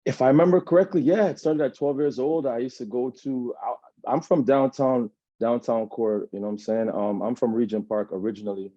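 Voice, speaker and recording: Man, Jamaican accent, low dark voice, rambling storyteller, dull lo-fi recording. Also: steady tone, fairly quick, dry recording. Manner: rambling storytelling, often adds "you know what I'm saying". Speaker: guest. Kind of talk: personal account in a sit-down interview. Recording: dull lo-fi sound.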